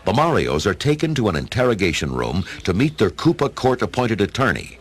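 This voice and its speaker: A man's voice: fairly low pitch, big pitch swings, a little fast, slightly rough. A man narrating a radio play as a dramatic storyteller, with a folksy, wry manner.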